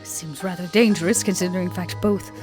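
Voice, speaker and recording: A woman playing a poised, calculating vampire lady in a role-play podcast, speaking formally and dryly with a husky tone. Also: lowish voice, steady tone, over music, natural voice. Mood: cool, dry. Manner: formal, measured, dry. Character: poised, disdainful, high-heeled vampire woman, coolly calculating. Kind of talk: in-character tabletop role-play.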